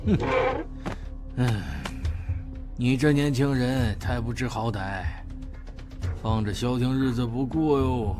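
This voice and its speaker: A man's voice: mid-range voice, slow, long pauses, lightly acted. An actor playing a shrewd, wealthy old grandfather in an audio drama, drawling folksy threats to protect his family. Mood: stern, menacing. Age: older. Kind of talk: Chinese audio drama acting. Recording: music behind the voice.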